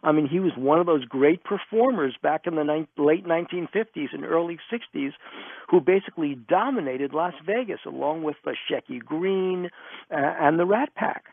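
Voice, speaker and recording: A man's voice: a little high, animated, normal speed, creaky, no room sound, phone-quality sound. A man, a guest author telling stories emphatically and repeating himself to drive points home in an interview. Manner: emphatic, builds points through repetition. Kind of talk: animated storytelling in an interview. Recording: band-limited radio interview sound, close and dry.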